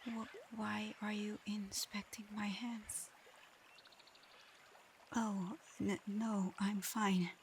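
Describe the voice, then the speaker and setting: A woman plays a proud dragon girl in human form, guarded and easily flustered. She speaks formally and slowly, in a narrow, even line that can catch into shy stammers. It is an intimate ASMR roleplay, with clear ambient noise behind the voice. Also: mid-range voice. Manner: halting, stammering when flustered, otherwise measured and formal. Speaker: audio roleplay performer.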